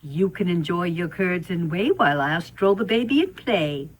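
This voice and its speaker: A woman playing a kindly, reassuring nursemaid in a children's storybook play, gently instructing and indulgent. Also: fairly low pitch, lively intonation, normal speed, breathy edge. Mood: kindly, instructive.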